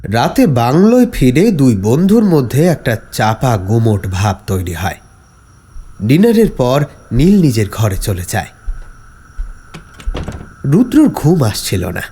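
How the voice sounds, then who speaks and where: Expressive male horror narrator, mellow tone. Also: medium pitch, slow, long pauses, slightly rough. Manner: suspenseful, expressive. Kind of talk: dramatic scripted horror story narration.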